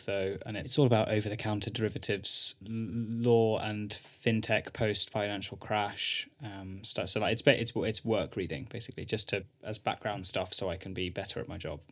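A man's voice: fairly low pitch, moderate pace, hardly any pauses. Easygoing male podcast co-host. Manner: thoughtful, stumbling over words. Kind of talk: casual podcast chat.

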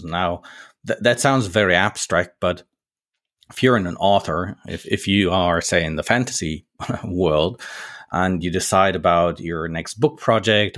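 Deep-voiced German man, dry close sound. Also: dry recording. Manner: measured, explanatory, frequent restarts. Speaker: podcast guest, market research founder. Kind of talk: casual interview chat.

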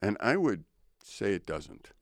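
Deep-voiced older man musing on faith. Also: sing-song, a little slow, no echo, clean studio sound. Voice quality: low voice. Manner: thoughtful, reflective, preachy. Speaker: co-host. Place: radio studio.

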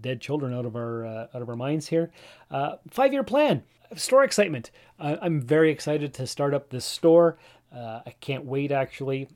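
A man's voice: medium pitch, darkish tone. A man in his forties hosting a casual solo show, rambling with frequent fillers and restarts and addressing his listeners directly. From Canada. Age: 40s. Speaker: podcast host.

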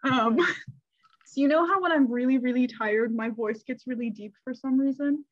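A woman's voice: sits high, moderate pace, muffled recording. A young woman in casual chat, telling meandering stories and laughing often. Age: young adult.

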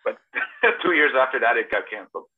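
A man's voice: mid-range voice, very animated, fairly quick, slightly rough. A middle-aged man from Serbia and Toronto, a screenwriter guest answering casually with personal anecdotes over an internet call. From born in Serbia, grew up partly in Toronto, Canada. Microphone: video call. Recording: thin, phone-like internet call audio.

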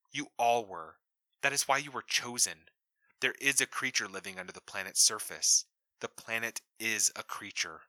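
A man voicing a female mission deputy speaking over a comm line, quick, breathless and sibilant, soft and close to a whisper. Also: fairly low pitch, no room sound, voice pushed up. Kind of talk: audiobook character voice.

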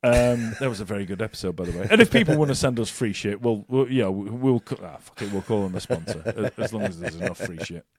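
A man's voice: lowish voice, lively intonation, quick pace, hardly any pauses, slightly rough, dry recording. A man co-hosting a casual podcast, swearing, joking and rambling through his announcements into a close mic. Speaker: podcast co-host. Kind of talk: casual chat.

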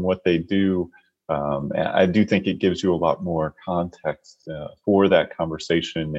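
A man hosting an interview, speaking in a measured way with many fillers as he reflects and asks questions. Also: sits low, darkish tone. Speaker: interviewer host. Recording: dull lo-fi sound.

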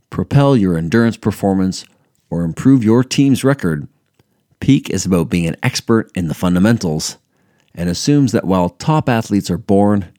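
A man's voice: low-pitched, dark tone, no room sound, studio quality. A man reading scripted promotional narration as an announcer. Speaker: advertisement announcer.

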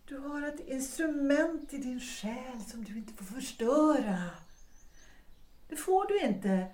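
A woman's voice: fairly high pitch, dark tone, close to an everyday voice. An actress playing an earnest, devoted woman in a period audio drama, speaking with emotional, pleading concern for a reckless lover. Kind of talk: period stage-play audio drama acting in Swedish. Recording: roomy, echoing sound.

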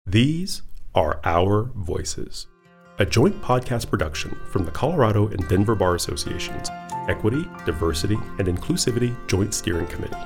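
A man with a low, gravelly voice reads a scripted podcast introduction. His delivery is measured, yet his pitch moves a lot. Guitar music plays behind him. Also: expressive. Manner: measured, announcer-like. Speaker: podcast narrator. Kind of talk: scripted narration.

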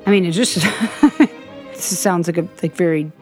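American female co-host, lowish easygoing voice, relaxed rambling talk, faint music under her. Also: normal speed. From United States. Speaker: podcast co-host. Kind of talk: casual chat about classical music.

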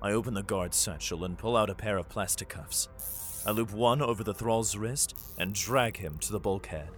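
A man's voice: lowish voice, moderate pace, faint music behind, lightly acted. A man playing a desperate, protective father fleeing underground in an audio drama, who also narrates in the first person. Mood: tense narration.

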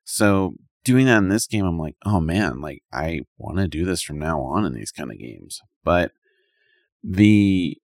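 A man's voice: low voice, big pitch swings, unhurried, creaky, a little mellow, no echo, clean studio sound. A man in a casual, rambling chat about video games as a podcast co-host, speaking colloquially with lots of "like".

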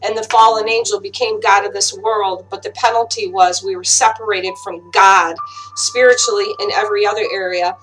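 A woman with a dry voice giving an informal online Bible teaching, halting often with fillers and restarts. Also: medium pitch, fairly even intonation, a bit bright, faint music behind, poor audio. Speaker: online preacher.

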